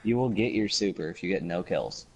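A man's voice: deep voice, fairly quick, a little mellow. A man on a headset mic streaming a team shooter, talking casually and explaining tactics to his squad. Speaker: gamer streaming with teammates. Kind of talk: casual game chat and callouts.

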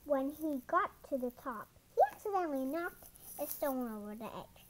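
A storybook reader doing an expressive children's picture-book read-aloud, sometimes stumbling over words, recorded indoors at a table. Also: high-pitched, normal speed, noisy background. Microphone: camera over the book.

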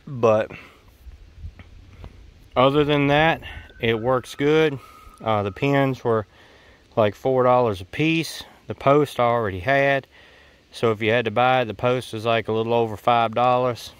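A man's voice: medium pitch, a little slow, pauses often, smooth. A man with a Southern US accent talking casually and off the cuff through a homestead DIY project, folksy and plain-spoken, rambling a little. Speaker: homestead DIY video maker. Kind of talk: casual unscripted how-to narration. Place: outdoors on a rural homestead.

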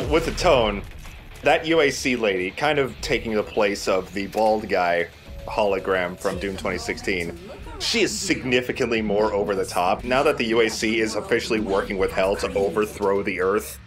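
Low-voiced man commentating over game music. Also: normal speed, a bit bright. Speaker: let's play host.

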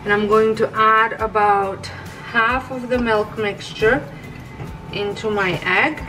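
A woman hosting a home baking video, talking through each step in a relaxed, chatty way with small self-corrections. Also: fairly high pitch, slow, soft background music, low-quality recording. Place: home kitchen.

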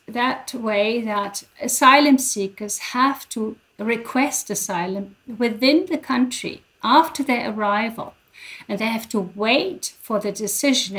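An older woman from Germany giving careful, halting explanations as an academic guest, speaking into a headset on a video call. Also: fairly high pitch, a bit bright, rough recording. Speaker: guest professor. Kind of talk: explanatory interview answers.

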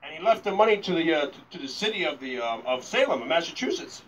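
High-pitched young man doing distant-miked stand-up. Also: sing-song, fast talker, fairly bright tone, a little hiss or hum. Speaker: stand-up comedian. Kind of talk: casual live stand-up, storytelling and crowd banter. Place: outdoors at night by a log cabin porch. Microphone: handheld microphone, filmed from a few meters. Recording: distant phone recording of outdoor amplified set.

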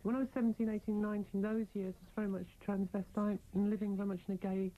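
A woman's voice: medium pitch, level tone, fast. A woman in her thirties speaking candidly as an interview guest, explaining herself in a reflective, conversational way. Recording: narrow-band, phone-like old radio aircheck.

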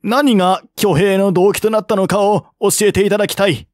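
A man's voice: high-pitched, fairly even intonation, moderate pace, no echo, clean studio sound, voice pushed up, natural voice. A man voicing an earnest court envoy who argues politely and formally in historical audiobook dialogue. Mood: earnest, insistent. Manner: formal, deferential, argumentative.

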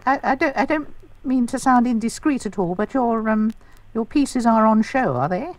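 A man's voice: very high-pitched, moderate pace, no room sound, voice pushed up. A man voicing a prim, genteel middle-aged chairwoman on a prank phone call, polite and hesitant. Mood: coy, flustered.

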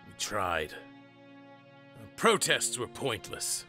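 An actor playing an earnest middle-aged monster father, telling family history with measured, dramatic pauses in an audio drama. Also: on the low side, huge pitch swings, bright, forceful tone, faint music behind, exaggerated voice. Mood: grave, bitter.

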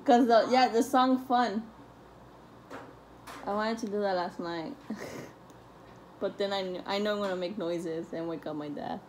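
A woman's voice: mid-range voice, unhurried, long pauses. A young woman chatting casually into her phone while traveling, laughing often and mixing in some Spanish. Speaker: vlogger. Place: hotel room and car. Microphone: phone held at arm's length. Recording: phone video with clear background noise.

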